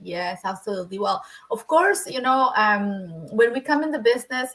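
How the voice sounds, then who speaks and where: A woman with a Spanish accent, a native Spanish speaker, talking as a guest in a casual interview, often restarting her sentences and filling pauses. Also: a little high, expressive, fairly bright tone, rough recording. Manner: hesitant, many restarts and fillers.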